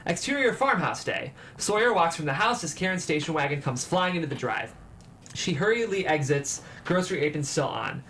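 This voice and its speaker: A man's voice: a little high, animated, fairly quick, a bit bright. A man reading scripted stage directions as the narrator of a table read. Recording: clear background noise.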